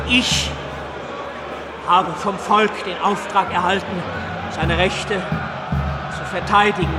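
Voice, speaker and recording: Defiant revolutionary speechmaker, high voice, slow emphatic declaiming, crowd roaring behind him. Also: male voice. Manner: declamatory, emphatic, halting phrases. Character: defiant revolutionary orator threatening traitors at the convention. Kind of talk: radio play oratory. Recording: echoing hall, loud crowd and music.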